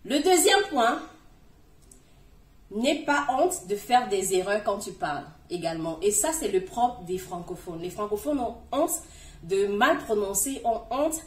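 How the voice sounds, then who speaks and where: A middle-aged woman talks straight to her audience in a warm, coaxing way, pushing her words hard and then dropping to a hush. Her voice sits at an ordinary pitch for a woman. It carries a roomy echo and sounds set back from the microphone. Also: expressive, unhurried. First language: French. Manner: encouraging, addresses the viewer directly, emphatic swings in loudness. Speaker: YouTuber giving language-learning tips. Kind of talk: direct-to-camera advice video. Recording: roomy, distant from the mic.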